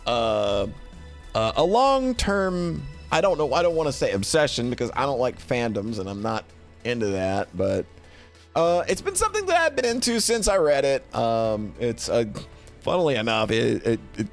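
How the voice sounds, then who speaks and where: A man's voice: mid-range voice, sing-song, normal speed. Middle aged guy streaming, rambly n playful, voice swings up and down a lot, bit of music behind. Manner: rambling, playful, often repeats phrases. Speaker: streamer presenting a tier list. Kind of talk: casual livestream chat and commentary.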